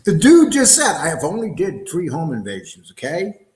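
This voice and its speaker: Middle-aged male co-host, higher-pitched and very animated, sarcastic mocking commentary, in an echoey room. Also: moderate pace. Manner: sarcastic, profane. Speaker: show co-host. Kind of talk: casual mocking commentary on a video.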